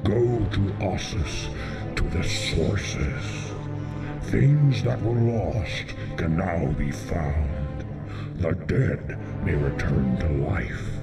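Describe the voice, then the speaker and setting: Ancient, creaky, very deep Jedi spirit. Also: male voice, recorded from a distance, over loud music, full character voice. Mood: solemn, serene. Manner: solemn, oracular, measured. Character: ancient Jedi gatekeeper spirit of a holocron, serene and solemn. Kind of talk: audio drama acting. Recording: loud orchestral score, voice set back.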